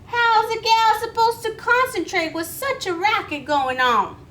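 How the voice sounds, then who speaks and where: A woman's voice: very high voice, a little slow, a bit bright, a little hiss or hum, pitched above the performer's own voice. A woman voicing a sassy, self-absorbed horned toad gal in a storybook, with folksy country complaints. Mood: irritated. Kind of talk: children's storybook read-aloud.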